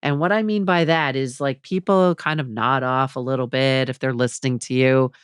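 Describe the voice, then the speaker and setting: A middle-aged woman giving advice as a podcast guest, with a low, fairly even voice and a measured, deliberate way of talking. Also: smooth, no echo, clean studio sound. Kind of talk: conversational advice in an interview.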